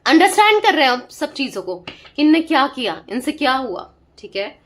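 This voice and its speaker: A high-pitched young woman reads tarot cards for her viewers. Her throat is sore from a cold, but she keeps pushing her points, repeating phrases and checking in with the listener every few lines. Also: big pitch swings, moderate pace, a bit bright. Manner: emphatic, repetitive, talks straight to the viewer with frequent "theek hai?". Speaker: tarot reader. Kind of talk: direct-to-camera tarot reading.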